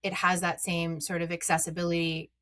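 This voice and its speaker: A woman's voice: lowish voice, level tone, a little fast, a bit bright. A middle-aged woman, a guest on a podcast, talking casually and chattily with plenty of ums and uhs. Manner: chatty, many "um" and "uh" fillers. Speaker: podcast guest, content creator.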